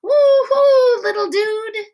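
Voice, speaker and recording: Woman acting as high-pitched, excited cheering schoolkids. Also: takes it slowly. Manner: loud, high, exclaiming. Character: excited fifth-grade schoolchildren cheering on their friend.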